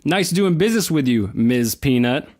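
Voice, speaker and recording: A man's voice: medium pitch, animated, moderate pace, a little mellow, soft background music, close to an everyday voice. A man playing a cocky, easygoing young adventurer in an audio drama, casual and joking.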